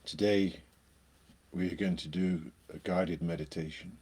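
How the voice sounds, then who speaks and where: A man leading a guided meditation, speaking in short phrases with long pauses between them. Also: low-pitched, fairly even intonation, dark tone, slightly rough.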